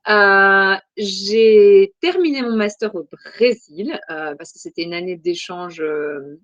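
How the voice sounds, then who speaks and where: A woman from Belgium in her forties answers interview questions, pausing often and filling gaps with soft hesitations. Her voice sits at a middle pitch, and the recording is dry and close, with no room sound. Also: no echo, lo-fi sound. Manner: hesitant, many "euh" fillers, thoughtful. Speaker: guest.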